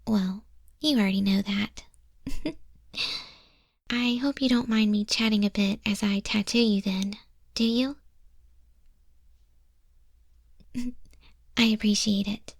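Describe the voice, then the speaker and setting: Woman as a slow, whispery goth moth girl. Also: medium pitch, steady tone, no echo, clean studio sound. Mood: friendly, reassuring. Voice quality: soft, breathy, often whispered. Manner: gentle, casual, chatty, reassuring. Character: friendly, chatty goth moth monster-girl tattoo artist. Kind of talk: ASMR roleplay, spoken to the listener. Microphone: close microphone.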